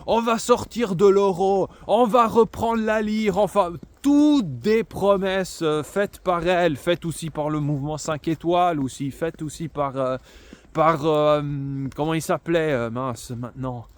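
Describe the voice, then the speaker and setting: Casting: male political commentator, fairly high voice, sarcastic, emphatic delivery, halting with fillers. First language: bilingual French and Italian. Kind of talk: unscripted political monologue.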